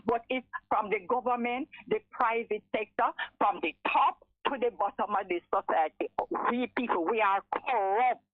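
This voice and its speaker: Radio caller, female, late seventies, Jamaican. Forceful and repetitive, fairly high-pitched, over a narrow phone line. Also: moderate pace, hardly any pauses, no room sound. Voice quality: strong voice. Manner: emphatic, repeats phrases for force. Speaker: radio call-in listener. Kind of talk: impassioned live phone-in talk. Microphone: telephone. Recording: thin, narrow telephone line.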